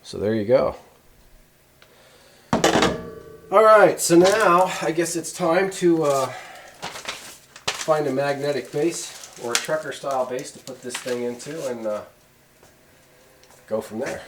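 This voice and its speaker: A man's voice: fairly high pitch, lively intonation, slow, long pauses, noisy background. Folksy man giving a hands-on demo. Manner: folksy, rambling, thinking aloud. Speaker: host of a radio gear review channel. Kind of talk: casual hands-on product demonstration.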